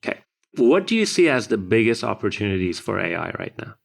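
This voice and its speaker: A man hosting a podcast interview, asking his questions briskly and in a friendly way. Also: lowish voice, very animated, vocal fry. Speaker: podcast co-host.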